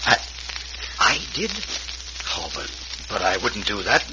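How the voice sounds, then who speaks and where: Low-voiced, bewildered farmer. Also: male voice, lively intonation. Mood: shaken. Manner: halting, stammering, repeating words. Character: Frank, dazed, bewildered farmer, remorseful brother. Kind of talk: old-time radio western acting. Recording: hissy, dull vintage radio recording.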